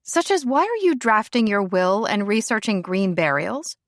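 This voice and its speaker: A woman's voice: mid-range voice, lively intonation, moderate pace, no echo, close to an everyday voice. A woman voicing a worried young woman pressing her close friend with earnest questions.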